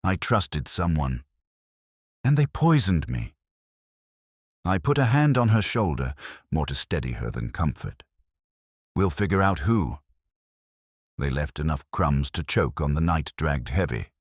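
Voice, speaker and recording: A man's voice: very deep voice, husky, no room sound, studio quality. A man reading a scripted first-person detective story in a hard-boiled narrating style.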